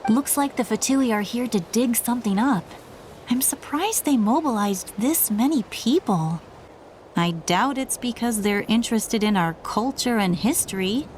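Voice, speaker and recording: A woman's voice: a little high, animated, a little slow, a little mellow, a little hiss or hum. A woman playing a cheeky, stubborn, spirited young female doctor in a video game, with a playful, breezy manner. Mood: teasing.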